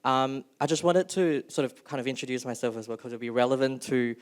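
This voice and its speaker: A young man from Malaysia speaks on a panel at a middle pitch. He hedges and restarts often, with frequent ums. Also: steady tone, fast talker, clear, resonant tone. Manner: hesitant, many ums and restarts. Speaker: panel speaker, youth delegate. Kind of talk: panel talk.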